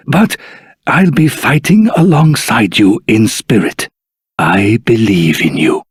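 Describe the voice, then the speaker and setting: Very husky, courtly old grandfather. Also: medium pitch, dry recording, clean studio sound, heavily acted. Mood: earnest, solemn. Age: older. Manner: formal, measured, deliberate storytelling. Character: wise, regretful old Dragovian grandfather, courtly and earnest. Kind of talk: scripted video game voice acting.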